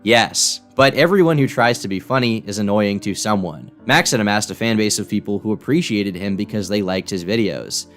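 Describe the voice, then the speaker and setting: A man's voice: lowish voice, fairly quick, few pauses, faint music behind. Blunt, sardonic man narrating. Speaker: YouTube commentator. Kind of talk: scripted documentary narration.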